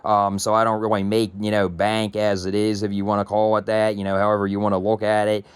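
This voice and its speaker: Soft-spoken man in his thirties, rambling. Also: on the low side, monotone, a little fast, clean tone, dry recording. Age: 30s. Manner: rambling, many ums and restarts. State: slightly raspy from allergies. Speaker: tech YouTuber. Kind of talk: casual vlog talk to camera.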